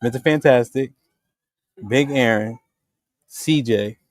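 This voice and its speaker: A man's voice: medium pitch, slow, dry recording, studio quality. A man hosting a casual group conversation, cutting in to steer it and make his point. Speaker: host.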